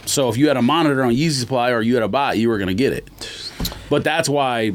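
A man's voice: mid-range voice, fast talker, a little hiss or hum. A man co-hosting a podcast in casual chat, explaining things in an emphatic, opinionated way. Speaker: podcast co-host.

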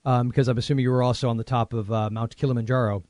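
A man hosts a podcast in casual chat. He rambles, restarts his sentences and jokes along the way, in a mid-pitched voice. The recording is close and dry. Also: level tone, very fast, darkish tone, no echo. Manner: rambling and jokey, with many restarts. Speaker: podcast host.

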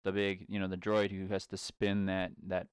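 Low-voiced deadpan man. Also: moderate pace, clean tone, dry recording, studio quality. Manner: deadpan contrarian, rambling with restarts. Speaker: podcast co-host. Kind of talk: casual fan banter.

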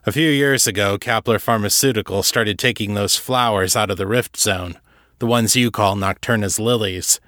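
A man voicing a gruff, cagey disembodied ex-commander in an audiobook, with measured, wry, folksy speech. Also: on the low side, a bit bright, dry recording, studio quality, natural voice. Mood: explaining.

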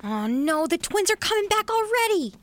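A woman's voice: very high voice, big pitch swings, normal speed. A woman playing a caring, alert young girl adventurer in a family audio drama. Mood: urgent.

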